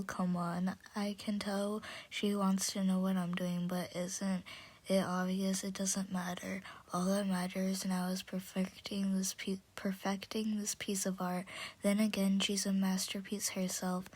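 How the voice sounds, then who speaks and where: A woman reading a fan-fiction story aloud with a hoarse voice, stumbling over words now and then. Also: mid-range voice, darkish tone. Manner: slow, stumbling, chatty. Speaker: audiobook reader.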